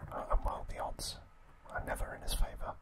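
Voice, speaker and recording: A man hosting an ASMR recap, speaking in a soft, breathy, unvoiced whisper with a gentle delivery. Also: brisk. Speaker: ASMR host.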